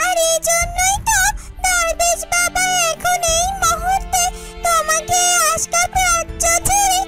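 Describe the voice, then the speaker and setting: A woman voicing a gentle, loyal yellow bird who gives earnest, reassuring advice in a children's fairy-tale cartoon. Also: very high-pitched. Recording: music under the voice.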